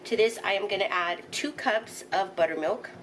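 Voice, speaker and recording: Woman, middle-aged, home cooking host, step-by-step instructions, relaxed chatty delivery, faint background noise. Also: medium pitch, normal speed, poor audio. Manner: instructional, walks through each step with fillers. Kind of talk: casual step-by-step cooking narration. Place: home kitchen.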